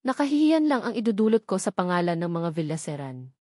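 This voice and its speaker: A woman voicing an anguished, fearful young wife in an audio drama, halting and repeating her words. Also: fairly low pitch, sing-song, a little mellow, no echo, clean studio sound. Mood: bitter, fearful. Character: anguished, fearful young wife, a former housemaid, self-sacrificing.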